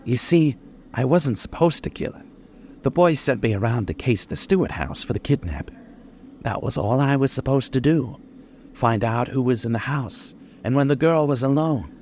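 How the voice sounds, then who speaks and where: A man's voice: medium pitch, lively intonation, a little mellow, slight background noise, close to an everyday voice. Man acting unhinged boastful killer, swooping voice. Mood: bitter, narrating. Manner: menacing, gloating, dwelling slowly on details. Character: boastful, unhinged young male killer holding police at gunpoint. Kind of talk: old-time radio crime drama acting.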